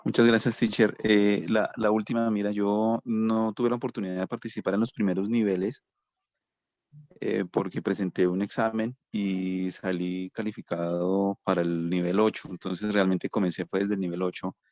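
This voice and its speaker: A man with a lowish, dry voice asks his teacher questions in an online English class. He speaks politely and hesitantly, restarting often, over a video call. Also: level tone, normal speed, darkish tone, no room sound. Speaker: student.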